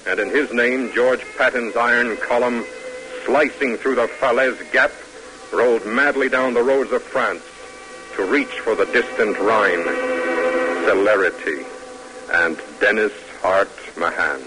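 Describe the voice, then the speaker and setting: A man narrating a vintage radio drama in a solemn, declamatory, measured style. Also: medium pitch, sharp tone, poor audio. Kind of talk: dramatic 1940s-50s radio documentary narration. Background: music.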